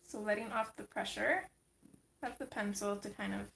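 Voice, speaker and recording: A woman hosting a casual livestream, chatting with viewers as she colors, her voice a little gravelly from just waking up. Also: medium pitch, steady tone, moderate pace, reverberant, background noise. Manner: chatty, reads and answers chat comments. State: slightly gravelly morning voice. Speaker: livestream host.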